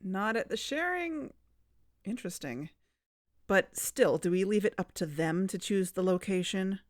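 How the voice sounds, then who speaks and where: A woman's voice: mid-range voice, animated, unhurried, no room sound, studio quality, natural voice. An actress playing a serious young woman investigator who hosts a podcast, in scripted narration and dialogue. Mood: guarded, resolute. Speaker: podcast host. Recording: music under the voice.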